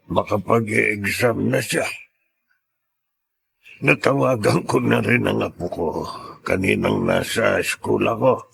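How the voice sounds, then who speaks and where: A man playing a kindly, protective old grandfather in a radio drama, with a husky, gravelly voice and an earnest, deliberate manner. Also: mid-range voice, heavily acted. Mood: worried, musing.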